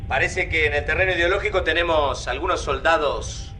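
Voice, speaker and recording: A brash, overconfident Argentine army major in a radio play. His forceful, fairly high voice is pompous and reproachful, with faint music behind. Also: brisk. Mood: scornful, indignant. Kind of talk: radio drama acting.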